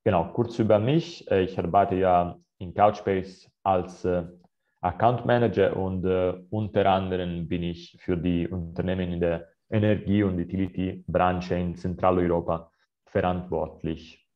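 A man's voice: sits low, fairly even intonation, a little slow. A man in his thirties with an Italian accent presenting a webinar in German on a video call, hesitant with many filler sounds. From Italy. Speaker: account manager presenting a webinar. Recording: slightly dull video-call audio.

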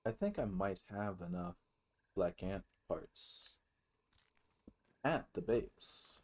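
A man's voice: low-pitched, animated, takes it slowly. A man giving casual, informal gameplay commentary as a let's-play host, thinking aloud as he goes.

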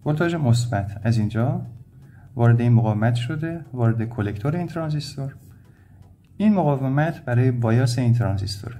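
A man's voice: medium pitch, mellow. A man from Iran explaining step by step as an electronics instructor, in a plain, methodical way. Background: background music.

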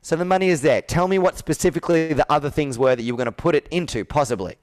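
A male sales coach with a fairly high, smooth and resonant voice. He questions directly and persuasively, as in a live sales call roleplay. The sound is close and dry. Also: fast talker, dry recording. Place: indoor room with whiteboard, on a video call.

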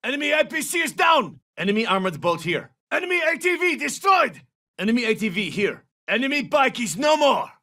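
A tough video game soldier with a high, hugely varied pitch. He delivers terse tactical callouts in a clipped, commanding way. The sound sits a little distant from the mic. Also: sing-song, brisk, sharp tone, exaggerated voice. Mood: urgent, triumphant. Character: tough, terse male special forces soldier. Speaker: video game operator voice actor. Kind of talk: military shooter game callouts, short barked lines.

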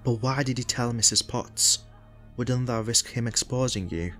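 A man's voice: medium pitch, moderate pace, fairly bright tone, natural voice. A man playing a polite, unsure young police detective in an audio drama. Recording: music behind the voice.

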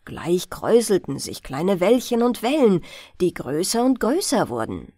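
A woman narrating a bedtime audiobook in a gentle, expressive, deliberate storytelling style. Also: mid-range voice, breathy edge, no echo, studio quality.

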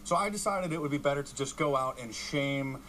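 A man's voice: mid-range voice, fairly quick, fairly bright tone, a little hiss or hum. An American man answering interview questions in an opinionated, unapologetic way. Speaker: interviewee, media commentator.

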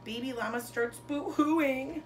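A woman in her thirties, a teacher reading a children's storybook aloud to pupils with expressive, sing-song phrasing and emphasized words. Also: high voice, a little slow, slight background noise. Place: bedroom, indoors. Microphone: camera a couple of metres away.

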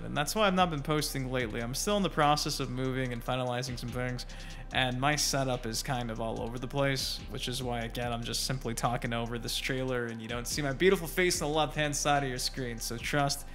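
A man's voice: medium pitch, a little fast, fairly bright tone. A man hosting a gaming channel, giving informal, rambling commentary on a gameplay trailer and talking straight to viewers. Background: music.

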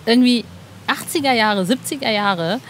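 A woman's voice: on the high side, normal speed, a bit bright, a little hiss or hum. A woman hosting a podcast interview in an informal, chatty way, asking questions like a fan. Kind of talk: casual conversational interview.

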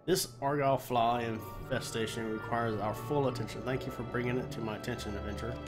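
Man reading as an earnest game captain, formal, measured, medium pitch, stuffed with a cold, music behind. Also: fairly even intonation, natural voice. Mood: grave, formal. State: has a cold. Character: earnest military captain giving a quest briefing. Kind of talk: quest text read aloud.

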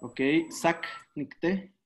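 A man hosting an online session from a small home room over a webcam, briskly calling on participants and thanking each one. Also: fairly bright tone. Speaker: session host. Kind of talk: video call roll call. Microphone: laptop webcam on video call.

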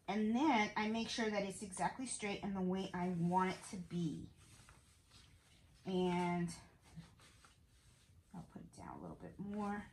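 A woman's voice: medium pitch, echoey room, a little hiss or hum, rough recording. A middle-aged woman hosting a casual embroidery tutorial from her home sewing room, chatting and thinking aloud as she works. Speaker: sewing channel host.

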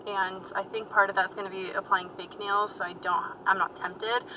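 A woman's voice: mid-range voice, little pitch movement, normal speed. A young woman vlogging from inside her car, rambling casually through her errands with lots of fillers and asides. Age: young adult. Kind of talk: casual vlog chat while driving. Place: inside a parked and moving car. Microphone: camera on the dashboard. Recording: car interior with road and engine noise.

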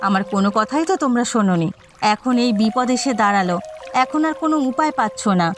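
A woman's voice: fairly high pitch, expressive, fast talker, soft background music, lightly acted. A woman voicing a scolding, aggrieved village housewife in a cartoon, nagging and complaining. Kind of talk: cartoon drama dialogue.

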